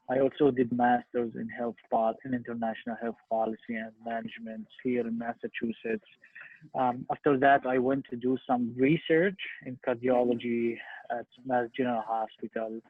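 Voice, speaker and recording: A young man with a Syrian Arabic accent answering interview questions as a physician, with husky, halting speech and repeated words. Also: medium pitch. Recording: muffled phone-like internet call, echoey room.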